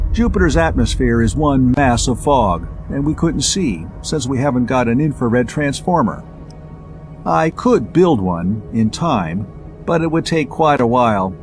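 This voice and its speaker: A man's voice: mid-range voice, close to an everyday voice. A man voicing an eager, slangy spaceman inventor in a science fiction audiobook, explaining technical things breezily. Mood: thoughtful.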